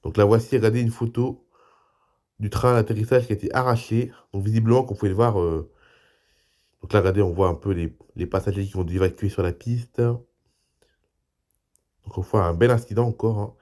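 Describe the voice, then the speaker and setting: A man hosting a homemade aviation news show while stuffed up with a cold, so he keeps his voice low and soft. His tone is mellow and dark, and he moves between reading articles and chatting loosely with his viewers. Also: fairly even intonation, a little fast, long pauses. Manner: reads articles, then adds loose spoken asides. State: has a cold, speaks softly. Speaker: YouTube news show host.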